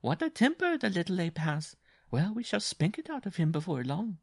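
A man's voice: sits high, sing-song, moderate pace, gravelly, no room sound, clean studio sound, voice pushed up, heavily acted. A man voicing a big, strong, domineering young bride, boisterous and mocking, in a theatrical storybook reading. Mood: amused, mocking.

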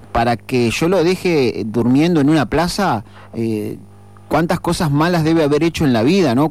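A man speaks as a radio host, his voice sitting a little high for a man. He talks earnestly and reflectively. He often halts on fillers and repeats words while he thinks. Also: darkish tone. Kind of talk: radio commentary.

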